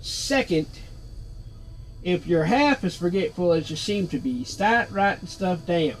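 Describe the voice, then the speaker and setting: A man's voice: sits high, lively intonation, a little slow, slight background noise, rough recording. A man voicing a sardonic, wisecracking floating skull in a cheeky, sarcastic manner, reading game dialogue aloud. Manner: cheeky, sarcastic, street-talking.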